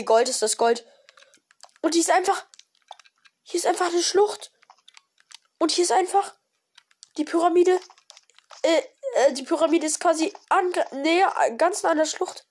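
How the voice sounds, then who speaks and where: A woman with a cold, giving casual, excited commentary on her own gameplay with many fillers and exclamations. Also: high voice, normal speed, pauses often. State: has a cold. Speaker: gaming video creator. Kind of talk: casual let's-play commentary.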